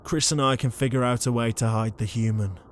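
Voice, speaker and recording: A man's voice: medium pitch, fairly even intonation, brisk, a little hiss or hum, natural voice. A man playing a weary, sarcastic young ghost who leads a haunting trio in an audio roleplay. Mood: exasperated, dry. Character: exasperated young ghost leader, reluctant protector, named Liam.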